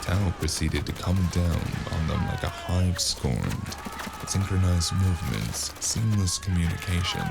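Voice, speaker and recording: A man with a gravelly voice narrating a cyberpunk noir audio drama in the first person, as a weary, wry gunman. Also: sits low, expressive, measured pace. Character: weary, wry male cyberpunk gunman telling his own story. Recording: music under the voice.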